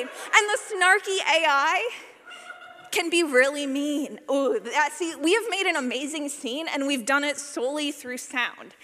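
Bright, high-voiced woman presenting in a hall. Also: slight background noise. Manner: animated, playful, informal, acting out examples. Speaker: session presenter, podcast sound designer. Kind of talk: live workshop talk with audience participation. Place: large indoor session room. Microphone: presentation microphone. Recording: echoey hall, live presentation.

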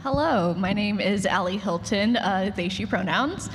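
A woman's voice: mid-range voice, lively intonation, a little slow. A woman giving casual explanations as a writer on a conference panel. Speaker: panelist, audio drama writer. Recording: reverberant conference hall, noisy.

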